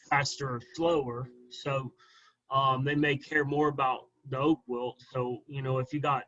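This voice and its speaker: A man answers questions as a visiting arborist, firm and explanatory. His voice sits a bit high. The sound is dull and lo-fi, like an online meeting. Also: fairly bright tone. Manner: firm, explanatory, ends points with "okay?". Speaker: guest speaker, arborist.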